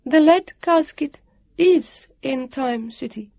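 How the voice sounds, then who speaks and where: A woman voicing a serene, otherworldly female guardian in an audiobook, soft and lilting with a trace of a foreign accent. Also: on the high side, animated, unhurried, dry recording, pitched above the performer's own voice. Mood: declaring, resolute. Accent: foreign lilt. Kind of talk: audiobook character voice.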